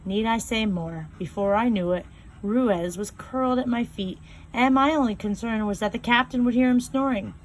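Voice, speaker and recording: A woman reading a first-person novel aloud in a calm, measured storytelling voice, slowing down on key phrases. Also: a little high, slight background noise, poor audio. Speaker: reader.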